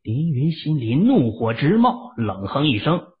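A male audiobook narrator with a husky, breathy voice who tells the story with lively, rising and falling intonation. The sound is tinny and narrow, like it comes over a phone line. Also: a little high, unhurried. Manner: expressive, dramatic pacing.

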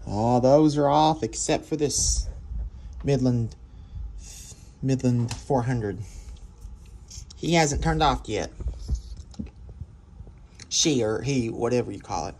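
A young man talking casually off camera while filming with his phone in a home workshop, rambling, excitable and often apologetic. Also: medium pitch, slow, vocal fry, long pauses. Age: young adult. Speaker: vlogger. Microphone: phone camera held by the filming speaker.

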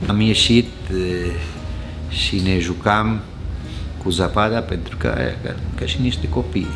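A low-voiced man in his sixties with a Costa Rican Spanish accent tells stories about his years abroad in a relaxed, warm way, chuckling often. He sits in a restaurant bar and talks into a small lapel mic. Music plays softly behind him. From Costa Rica. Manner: warm storyteller, chuckles often, gestures with hands. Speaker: restaurant owner. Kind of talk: casual interview chat.

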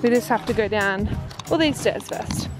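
A woman's voice: mid-range voice, moderate pace, over music. A young woman chatting casually into a handheld camera while hiking a coastal trail, a little out of breath. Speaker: travel vlogger. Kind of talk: casual travel vlog. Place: outdoors on a coastal bush trail. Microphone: handheld camera at arm's length.

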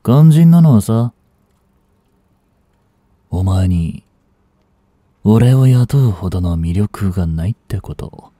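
A man acting as a cynical, aloof young street delinquent in a voice drama, with rough, casual, lazily teasing speech, close to the mic. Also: lowish voice, animated, slow, pauses often, mellow, dry recording. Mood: aloof, dismissive. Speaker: voice actor. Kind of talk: Japanese voice drama aimed at women, one-sided dialogue. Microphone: close studio mic. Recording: dry close-miked studio recording.

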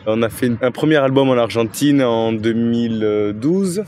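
A man, a musician talking in a relaxed outdoor interview, with many hesitations. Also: medium pitch, moderate pace, a little hiss or hum. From Toulouse, France. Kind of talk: casual interview. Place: outdoors against an old wall. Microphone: handheld mic held by interviewer.

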